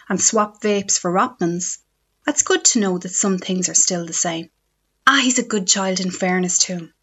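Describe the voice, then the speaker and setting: A woman's voice: medium pitch, a bit bright, breathy edge. Irish woman, scripted comic column, dry self-mockery, muffled lo-fi recording. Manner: wry, self-mocking storytelling. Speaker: radio essayist. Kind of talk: scripted humorous column read on radio.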